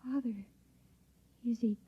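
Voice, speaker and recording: A woman's voice: a little high, fairly even intonation, slow pace, breathy edge, exaggerated voice. A woman playing an anxious, devoted young daughter, emotional and urgent, in a 1950s radio drama style. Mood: alarmed. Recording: dull old radio recording.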